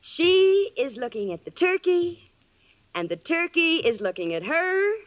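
A woman's voice: very high-pitched, sing-song, a little slow, dull audio, full character voice. A woman acting an exasperated, sensible young roommate in a vintage radio comedy, with wry, emphatic delivery.

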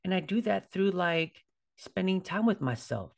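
A man's voice: sits high, animated, normal speed, vocal fry. A man in his forties talking casually as a podcast host, informal and conversational, often checking in with a "right?". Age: 40s. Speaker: podcast host, fitness trainer. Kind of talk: casual solo podcast talk.

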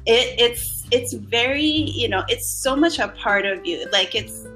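Bright-voiced woman guest in her thirties. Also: fairly high pitch, animated, unhurried, faint music behind, poor audio. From Philippines. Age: 30s. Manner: reflective, many fillers and hedges. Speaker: podcast guest. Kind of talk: casual conversation.

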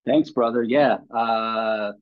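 A man who works as a comedian, talking in a relaxed, easygoing way with many fillers, calling in over the web. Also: medium pitch, slow, clean tone. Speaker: comedian guest. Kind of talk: casual podcast chat. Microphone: remote web call. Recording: lo-fi remote web call.

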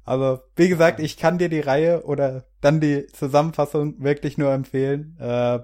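A man's voice: on the high side, fairly even intonation, normal speed, darkish tone. A man in casual podcast chat, speaking in a wry, amused manner. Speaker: podcast participant, video critic.

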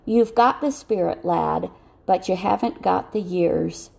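Casting a woman as a courteous army captain for an audiobook, kind, measured, firm, some creak in the voice. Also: lowish voice, darkish tone. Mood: kindly firm. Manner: measured, reassuring. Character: kind, courteous, firm male cavalry officer.

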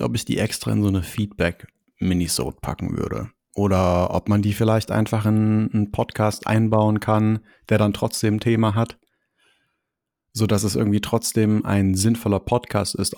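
A man with a gravelly, rather low voice talks casually with his podcast partner, weighing each point before committing. He is recorded close and dry. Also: a little mellow, no room sound. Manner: thoughtful, hedging, weighs his words. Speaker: podcast co-host.

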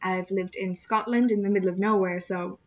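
A woman from the Netherlands answering interview questions casually, with pauses and fillers. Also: mid-range voice, steady tone. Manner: hesitant, with fillers and small laughs. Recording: slightly muffled recording.

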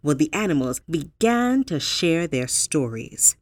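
A woman hosting as a storyteller, telling folktales with dramatic pauses and emphasis. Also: deep voice, sing-song, no room sound. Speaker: storyteller, host.

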